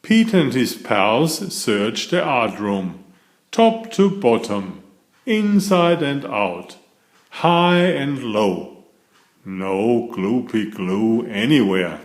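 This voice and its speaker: Unhurried, animated male storybook reader. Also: medium pitch. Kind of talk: animated children's read-aloud narration. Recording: roomy echo.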